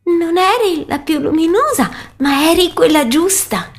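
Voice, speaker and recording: A woman voicing a small, gentle female turtle in a children's fable, tender in manner. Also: very high-pitched, expressive, unhurried, heavily acted. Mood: grateful, tender.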